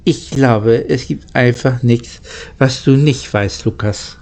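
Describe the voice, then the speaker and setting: A man voicing an earnest, easily worried young boy traveller in a storybook, speaking haltingly. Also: mid-range voice, darkish tone. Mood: admiring.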